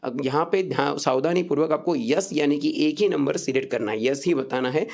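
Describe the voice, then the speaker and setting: Male explainer host, slightly high pitch with noticeable fry, informal and instructive, repeats key points for viewers. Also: a little fast, darkish tone. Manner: repetitive, instructive, addresses viewers directly. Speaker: online video presenter.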